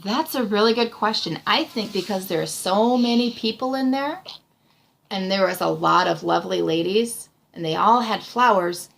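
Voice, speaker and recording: A woman's voice: medium pitch, lively intonation, moderate pace, vocal fry, a bit bright, poor audio. A woman reads a storybook aloud and discusses it in an encouraging, explanatory way. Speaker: reading tutor reading aloud to a child. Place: small room indoors.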